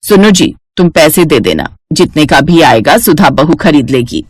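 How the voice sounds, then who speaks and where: A woman's voice: low-pitched, lively intonation, moderate pace, breathy edge, no room sound, studio quality, pitched below the performer's own voice. A woman voicing an elderly, commanding village mother-in-law in a cartoon family story. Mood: insistent.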